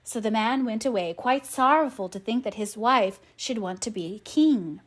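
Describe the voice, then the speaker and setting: A woman narrating a storybook aloud in an expressive, measured manner. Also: fairly high pitch. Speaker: audiobook narrator.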